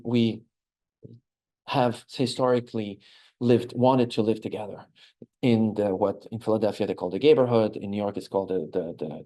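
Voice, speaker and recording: A man's voice: fairly low pitch, mellow. A man in his forties with a Lebanese accent presents as an architect in an informal lecture, explaining things conversationally and checking in with listeners.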